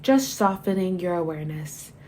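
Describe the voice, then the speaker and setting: Meditation guide, female, lowish relaxed voice, soothing and measured scripted delivery with gentle phrase endings. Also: a little hiss or hum. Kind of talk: guided body scan meditation, scripted narration.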